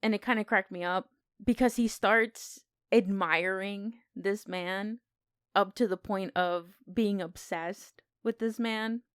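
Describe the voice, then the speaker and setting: Woman chatting about movies on a podcast, smooth clear voice, kind of musing, dry studio-like sound. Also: mid-range voice, fairly even intonation, takes it slowly, dry recording. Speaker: film podcast co-host. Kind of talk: casual film discussion.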